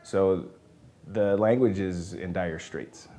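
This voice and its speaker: A man's voice: low voice, takes it slowly, warm tone, soft background music. A man whose first language is Samoan, an education director answering interview questions with frequent ums and uhs. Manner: hesitant, many uhs and ums.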